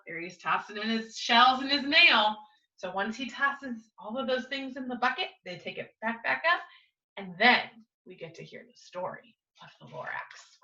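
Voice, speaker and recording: A woman reading a picture book aloud to young children like a teacher, with expressive, dramatic emphasis and coaxing questions. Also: on the high side, highs cut off. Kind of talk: animated children's storytime read-aloud with asides and questions.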